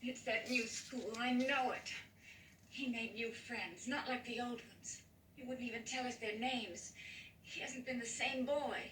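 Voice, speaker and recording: A woman's voice: a little high, steady tone, a little slow, a bit bright. An actress playing a distraught, protective American mother in a 1960s television drama. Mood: anxious, defensive. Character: distraught, protective mother of a twelve-year-old boy. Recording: echoey, distant old TV audio, faint noise.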